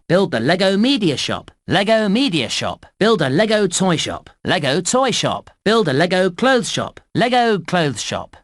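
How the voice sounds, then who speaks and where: A cheerful park announcer from a children's computer game. His voice sits fairly high and swings in a very lively way. He presents scripted lines and instructions with upbeat clarity, in a dry, close recording. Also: no echo. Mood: bright, instructive. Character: cheerful male park announcer guiding young players.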